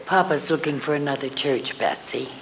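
A woman playing a gentle, motherly older sister who comforts her family in a live radio drama reading. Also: low voice, moderate pace, slight background noise, rough recording. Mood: soothing. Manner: soothing.

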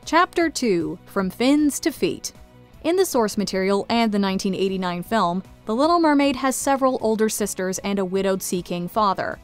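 A woman's voice: medium pitch, expressive, moderate pace, soft background music. A woman reading scripted video essay narration into a close studio microphone. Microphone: close studio voice-over mic.

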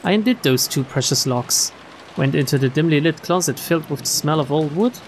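Expressive streamer with a lively, swooping mid-pitched voice. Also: a little fast, a little hiss or hum, poor audio. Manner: expressive reading broken by joking commentary. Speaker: streamer reading a visual novel aloud. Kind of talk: let's play read-aloud with casual asides.

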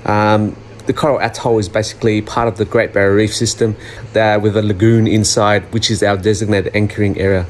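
A man recording an informal voiceover for his fishing vlog, relaxed and using fillers. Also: fairly low pitch, fairly quick, a little hiss or hum. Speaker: vlog narrator. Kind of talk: voiceover narration.

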